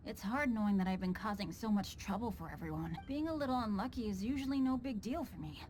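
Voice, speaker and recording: A woman's voice: medium pitch, fast talker, darkish tone, a little hiss or hum, close to an everyday voice. A woman voicing an earnest, upbeat teenage boy adventurer in a video game, with a youthful manner. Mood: guilty, worried. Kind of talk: video game cutscene voice acting.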